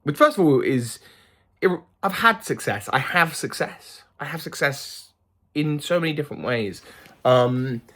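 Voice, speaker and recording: A man's voice: on the high side, lively intonation, unhurried, fairly bright tone. A man in his thirties talking to camera in a thoughtful, rambling way, with many fillers and restarts. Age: 30s. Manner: thoughtful and earnest. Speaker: vlogger. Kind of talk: reflective casual monologue.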